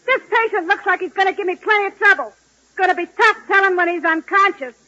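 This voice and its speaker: Shrill, brash nurse. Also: female voice, fast talker, sharp tone. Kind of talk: 1940s radio comedy acting. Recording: noisy, dull vintage radio broadcast.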